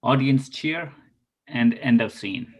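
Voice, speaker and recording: A man's voice: medium pitch, lively intonation, slow, breathy edge. A man reading out a synopsis and stage directions as the narrator of a play table read.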